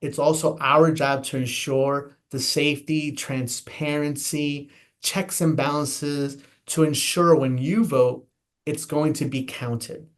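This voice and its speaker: A man's voice: fairly high pitch, fairly even intonation, slow pace. An American man, a guest elections official, explaining voting steps in an earnest, emphatic way, often repeating and restarting phrases. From United States. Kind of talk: interview explanation.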